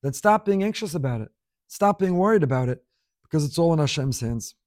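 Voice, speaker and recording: A man's voice: fairly high pitch, expressive, brisk, darkish tone, no echo, studio quality. A man giving a spoken Torah class, teaching in an earnest, conversational way with emphatic repetition.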